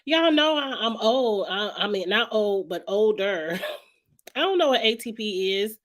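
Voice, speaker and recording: A woman vlog host with a hoarse, raspy, heavy-sounding voice. She chats frankly and casually, greeting viewers by name as she goes and clearing her throat now and then. Her pitch sits in an ordinary middle range for a woman. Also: expressive, measured pace. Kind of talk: casual reality-TV commentary chat.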